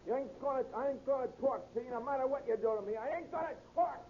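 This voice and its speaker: An actor playing a defiant, cornered escaped convict in a slangy, tough-talking 1930s radio style. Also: male voice, very high voice, quick pace. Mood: defiant, heated. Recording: muffled, noisy 1930s radio recording.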